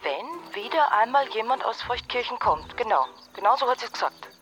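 A woman's voice: a little high, big pitch swings, normal speed, a bit bright, dull audio, faint music behind. An actress playing a worried, easily exasperated girlfriend with an Austrian accent in a naturalistic radio drama. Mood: thoughtful. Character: Susi, worried, exasperated girlfriend of an amateur sleuth.

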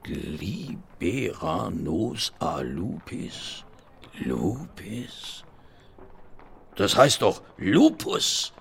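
A man playing a puzzled, bossy police officer in a German radio play, with a husky, gravelly voice. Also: low voice, very animated, drawn-out words, exaggerated voice.

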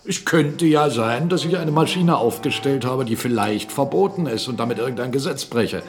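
Man acting a blunt captain and mill owner, defiant, emphatic, middle-pitched voice, radio drama, clear music underneath. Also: animated, normal speed, lightly acted. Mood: defiant, heated. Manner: argumentative, emphatic, rhetorical questions.

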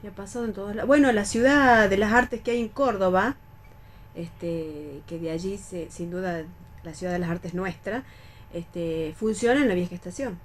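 A woman's voice: mid-range voice, moderate pace, slight background noise. A woman with an Argentine accent chatting casually as a panelist on a radio talk show.

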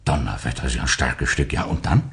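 A man playing an eager, obliging office chief in a German radio play, brisk, emphatic and helpful. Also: rumbling low, creaky. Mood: astonished, curious.

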